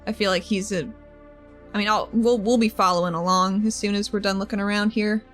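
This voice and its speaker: A woman's voice: medium pitch, steady tone, a little fast, fairly bright tone. A woman playing in a tabletop roleplaying game, describing her moves in casual, informal chat. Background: faint music.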